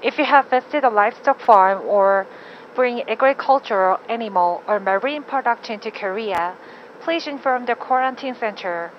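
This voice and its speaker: A woman's voice: on the high side, extremely slow. A woman working as a flight attendant, reading a formal scripted announcement over the cabin PA. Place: aircraft public address system. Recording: muffled cabin PA with noise. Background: cabin noise.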